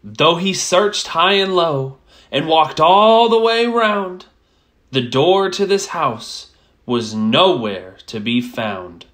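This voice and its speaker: A woman reading a rhyming children's picture book aloud as a storyteller, with expressive, measured phrasing. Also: low-pitched.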